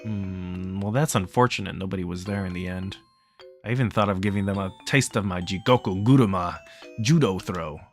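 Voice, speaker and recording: A man's voice: low voice, normal speed, faint music behind. A man voicing a blustering middle-aged male braggart from a visual novel, hesitant and full of excuses. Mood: boastful, deflated.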